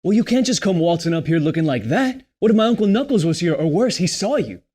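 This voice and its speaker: An actor playing an irritable, defensive young drug dealer in a screenplay table read, snappy and street-tough with plenty of swearing. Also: male voice, sits high, very animated, fast talker, a little mellow. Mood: scolding.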